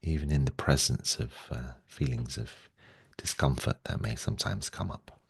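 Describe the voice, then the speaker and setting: A man leading a guided meditation in a gentle, soothing way, speaking slowly and softly with long pauses. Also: a bass voice, animated, vocal fry, slightly rough, no echo.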